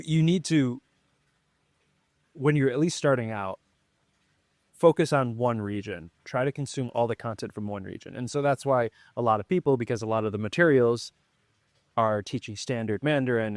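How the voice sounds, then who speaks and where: A man hosting a podcast, giving relaxed, rambling advice in casual conversation. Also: fairly low pitch, dry recording, clean studio sound. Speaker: podcast host.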